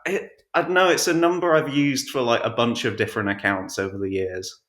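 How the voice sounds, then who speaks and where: A man chatting casually as an interview guest, hesitant and low-key, with a roomy sound around his voice. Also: steady tone, brisk, a bit bright. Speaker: podcast guest, audiobook narrator. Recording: echoey, roomy voice pickup.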